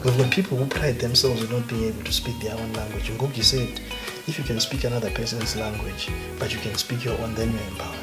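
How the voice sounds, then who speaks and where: A man with a Zimbabwean accent, a guest academic talking emphatically and with passion in a relaxed interview. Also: mid-range voice, quick pace, hardly any pauses. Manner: emphatic, passionate, mixes in Ndebele phrases. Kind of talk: conversational interview. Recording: background music. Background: music.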